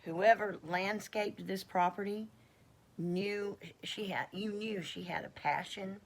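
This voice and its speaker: A middle-aged woman with a croaky voice, giving a casual personal testimony in a rambling, halting way with many fillers and restarts. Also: mid-range voice. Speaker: homestead vlogger.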